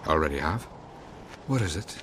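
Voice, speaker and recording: An actor playing a stern, brusque druid herbalist in a fantasy video game, curt and solemn. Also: male voice, very deep voice, expressive, normal speed. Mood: irritated. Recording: clearly noisy ambience behind the voice.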